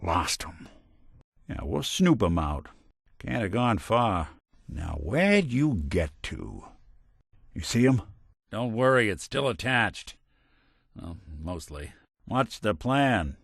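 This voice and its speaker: A man's voice: lowish voice, expressive, slow, pauses often, a bit bright, no room sound, full character voice. A man voicing a wry, world-weary old synthetic detective in a video game, with a hard-boiled American drawl and dry sarcasm. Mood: casual, wry. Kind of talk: video game character acting, noir detective.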